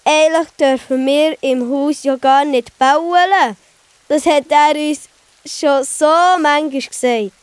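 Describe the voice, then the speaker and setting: A woman's voice: high voice, takes it slowly, a little mellow, no room sound. A woman playing an earnest young boy in a children's audio play. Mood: earnest, urging. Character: Hops, young boy child character, conscientious and encouraging.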